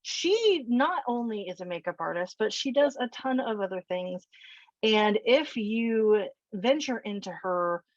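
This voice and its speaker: A woman's voice: medium pitch, animated, measured pace, no echo. Effusive woman host, slightly dull sound. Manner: warm, effusive, rambling introductions. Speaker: show host. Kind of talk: casual interview hosting.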